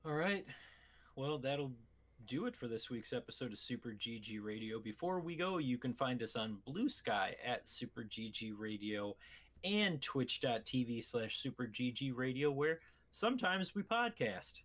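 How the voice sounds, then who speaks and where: A man's voice: mid-range voice, sing-song, normal speed, a bit bright. Wry male podcast host. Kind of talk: casual podcast chat.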